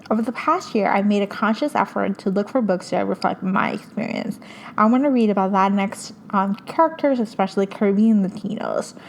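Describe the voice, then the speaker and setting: A young woman from hosts a casual podcast, her Spanish. She talks eagerly, full of fillers and little restarts, at an ordinary mid pitch for a woman. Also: slight background noise, rough recording. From Puerto Rico. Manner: enthusiastic, chatty, many fillers. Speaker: podcast host. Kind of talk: casual book recommendations.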